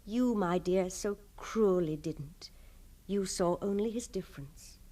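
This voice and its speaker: An actress playing a tender, earnest, devoted gentlewoman in a literary radio drama, with theatrical delivery. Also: medium pitch, slow pace, recorded from a distance. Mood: impassioned, pitying. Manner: hushed to impassioned, theatrical delivery.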